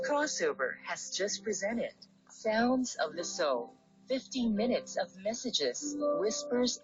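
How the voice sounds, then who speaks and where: A woman radio announcer reading scripted prayers, station credits and event notices. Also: on the low side. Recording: dull lo-fi radio aircheck. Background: music.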